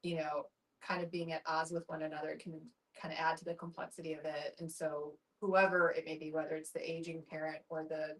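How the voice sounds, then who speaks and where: A woman taking part in a thoughtful casual discussion, explaining in a measured, tentative way. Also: low-pitched, flat delivery, fairly bright tone, distant mic, low-quality recording. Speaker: discussion participant.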